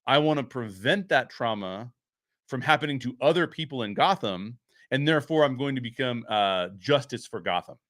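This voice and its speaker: A man's voice: mid-range voice, animated, normal speed, fairly bright tone, dry recording, studio quality. A man giving unscripted film commentary as a show host, explaining his points emphatically.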